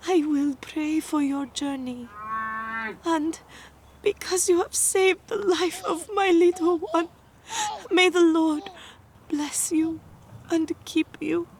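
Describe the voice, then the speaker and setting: High-voiced, tender mother. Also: takes it slowly, heavily acted. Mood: grateful. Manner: emotional, reverent. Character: grateful young mother, tender and devout. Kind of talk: dramatic audio drama acting.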